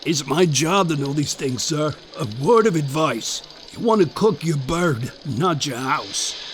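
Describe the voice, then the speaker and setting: A man's voice: a little high, expressive, measured pace, fairly bright tone, slight background noise. A man playing a smug, know-it-all turkey hotline agent who interrupts and guesses the caller's problem. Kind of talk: comedic sketch.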